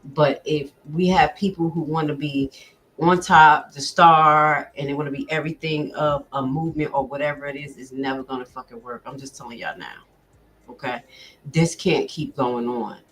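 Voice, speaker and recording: A woman's voice: low-pitched, steady tone, moderate pace, fairly bright tone. A woman vlogger giving blunt, profane commentary while reacting to a recording and talking straight to her viewers. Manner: addresses viewers directly.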